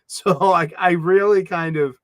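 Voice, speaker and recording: A middle-aged man with a thick Boston accent hosts a casual livestream chat. His voice sits a little high, and he rambles and mumbles, restarting his sentences. The sound is dry, with no room echo. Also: fairly even intonation, unhurried. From Boston, USA. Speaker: livestream host.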